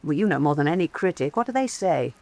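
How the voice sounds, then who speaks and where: An actress playing a proud, intense woman painter in a radio drama, declaiming with scorn and dramatic pauses. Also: deep voice, expressive, dark tone, no room sound. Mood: restless, insistent. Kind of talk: theatrical radio drama acting.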